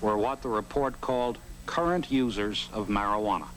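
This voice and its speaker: A man's voice: fairly low pitch, normal speed, a bit bright, a little hiss or hum, low-quality recording. A man reading scripted narration for a 1970s documentary, on an old film soundtrack. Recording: old film soundtrack, people talking over it.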